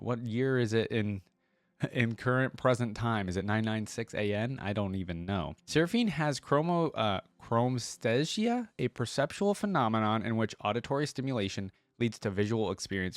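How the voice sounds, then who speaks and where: A man hosting a reaction video, reading aloud and commenting casually in a chatty, expressive way. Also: lowish voice, no room sound.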